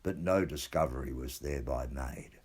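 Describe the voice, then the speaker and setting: A man giving a measured, theatrical reading of an old ghost account, in a deliberate storytelling manner. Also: very deep voice, breathy edge. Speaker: reader of a literary work.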